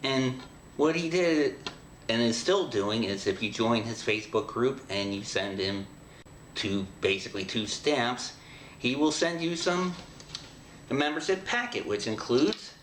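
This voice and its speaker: A middle-aged man hosting a show, talking casually to the viewer in a halting, rambling, conversational way. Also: medium pitch, a bit bright. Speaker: host of an audio drama show. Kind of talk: casual show introduction to camera. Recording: echoey room with background hiss.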